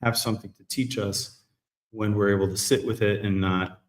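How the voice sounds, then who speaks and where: A man hosting a live men's group talk show, chatting in a relaxed, rambling way. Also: lowish voice, normal speed, echoey room. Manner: relaxed, rambling, self-correcting. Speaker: host. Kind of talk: casual live chat.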